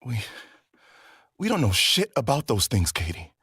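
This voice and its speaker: A man playing a worried, protective and loyal character in a horror audio drama, with a husky, breathy voice. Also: mid-range voice, huge pitch swings, moderate pace, a bit bright, no echo, clean studio sound, heavily acted. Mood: worried, wary.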